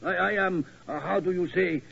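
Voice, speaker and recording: A worried old German tower keeper stumbles through broken English in a slightly raised, husky voice. It comes through as a dull, muffled 1940s radio drama recording. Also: male voice, high voice, full character voice. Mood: anxious. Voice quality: halting, broken English word order.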